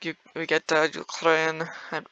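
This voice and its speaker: A man's voice: fairly high pitch, completely flat delivery, unhurried, bright, forceful tone, smooth, dry recording, muffled recording. A young man giving rambling, casual commentary over a strategy game, full of fillers and small laughs. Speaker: gameplay video creator.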